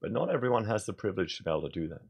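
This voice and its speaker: An Australian man with a hoarse voice, giving blunt, conversational opinion commentary in his vlog. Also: fairly low pitch, big pitch swings, very fast, darkish tone. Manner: blunt, conversational, thinking aloud. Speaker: vlogger.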